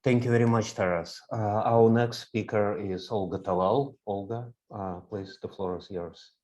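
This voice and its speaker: A man moderating an online panel, brisk and polite as he keeps speakers on time. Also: lowish voice, fairly even intonation, a little mellow.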